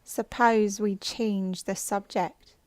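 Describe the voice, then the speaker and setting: A woman puts on a bored male hare from a storybook. He is tired and weary, drawling his words at a slow pace. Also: medium pitch, fairly even intonation, a little mellow, no room sound, close to an everyday voice. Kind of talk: storybook character voice.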